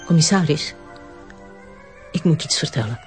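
A woman's voice: low-pitched, lively intonation, moderate pace, loud music behind the voice. An actress playing an anxious, refined lady witness in a crime drama.

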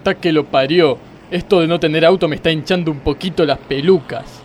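An actor playing a self-pitying, pompous judge with an Argentine accent in a comic radio play. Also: male voice, a little high, brisk, lightly acted. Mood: exasperated. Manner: whiny, pompous, speechifying. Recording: faint vehicle and street noise behind.

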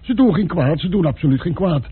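A man voices an anxious innkeeper who bows and worries over every word. His voice is very raspy, captured in a thin, phone-like old radio recording. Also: on the high side, animated, fairly quick. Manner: anxious, deferential. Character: nervous, wary male country innkeeper. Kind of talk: radio drama acting.

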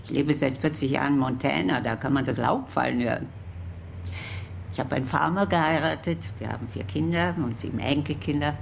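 A woman's voice: extremely low pitch, a little fast, creaky, a little hiss or hum, heavily acted. An actress playing a talkative old lady visiting from the countryside, in radio drama style. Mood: chatty. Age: older.

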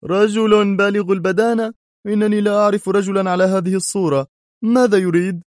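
A shrill, furious collector who shouts every line in an explosive, high-strung way, as a male narrator puts him on. The voice leaps high above his usual pitch and stays loud. It is a dry, close audiobook reading. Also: fairly even intonation, a little fast, no echo, natural voice. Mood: angry, agitated. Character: furious excitable foreign male art collector.

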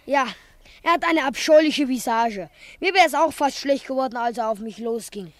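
A brash, good-natured schoolboy, played by a woman in an old radio play. The voice sits high and the talk is blunt and cheerful. The recording is close and dry. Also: moderate pace, no echo, natural voice. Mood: encouraging. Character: brash, kind-hearted schoolboy brawler. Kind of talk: 1950s radio play acting.

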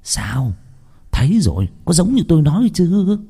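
A man's voice: mid-range voice, wildly swooping pitch, slow, slightly rough, pitched above the performer's own voice, exaggerated voice. A man voicing a shifty, sardonic older fortune master in an audio story, questioning and teasing. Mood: eager. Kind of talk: acted audio story dialogue.

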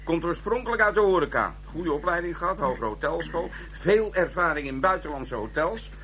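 A man's voice: on the high side, big pitch swings, brisk, bright, forceful tone, slight background noise, exaggerated voice. An actor playing an offhand, sardonic police detective giving his report in a Dutch radio drama. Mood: matter-of-fact. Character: wry, colloquial male police detective reporting findings.

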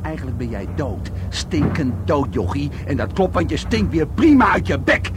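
An actor playing a scornful, free-spirited bohemian in a radio drama, taunting and preaching with drawn-out sneers. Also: male voice, on the high side, big pitch swings, noisy background.

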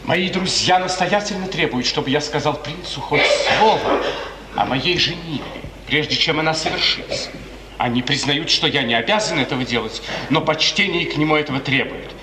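A man's voice: on the high side, normal speed, lightly acted. A man playing an earnest, melancholy young count in love, declaiming solemnly in a 1950s radio tragedy. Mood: gloomy. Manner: solemn, lofty, ardent declamation. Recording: noisy 1950s radio recording, echoey hall, distant.

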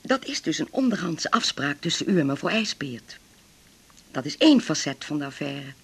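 A husky, formal male legal adviser. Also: a little high, sing-song, exaggerated voice. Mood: businesslike. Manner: formal, measured. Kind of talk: naturalistic 1980s radio drama acting.

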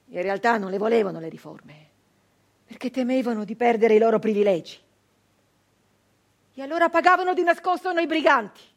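An actress playing a fierce, bitter nineteenth-century female brigand in a dramatic theatrical monologue, intense and deliberate. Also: sits high, close to an everyday voice. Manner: intense, deliberate, with long pauses, swinging from hushed to outcry. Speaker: stage actress.

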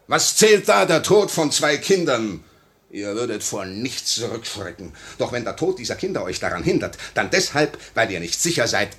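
A fanatical revolutionary with a bitter edge, in an old radio drama. The delivery is declamatory and emphatic, and the mid-pitched male voice swings widely up and down. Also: a bit bright. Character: bitter, uncompromising Russian revolutionary, ex-prisoner, fanatical. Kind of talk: 1950 radio play acting. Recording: vintage 1950 radio recording.

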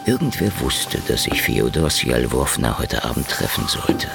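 A man's voice: very deep voice, sing-song, normal speed, vocal fry, full character voice. A man playing a cunning, hard-drinking old private detective in a hard-boiled radio drama, taunting and wordy in his wisecracks. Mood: grim narrating. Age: older.

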